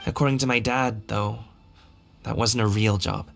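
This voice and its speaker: A man's voice: lowish voice, steady tone, normal speed, creaky, fairly bright tone. A man voicing a friendly, earnest young male dancer in a video game, speaking in an easygoing, casual way. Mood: earnest, wistful. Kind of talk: video game character acting. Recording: faint game music behind the voice. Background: faint game music.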